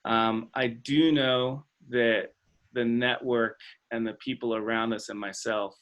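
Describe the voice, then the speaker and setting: A man hosting an online webinar discussion, speaking in a thoughtful, tentative and halting academic manner. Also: mid-range voice, fairly bright tone. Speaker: webinar host and facilitator. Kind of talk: hesitant, thoughtful facilitated discussion. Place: online video call.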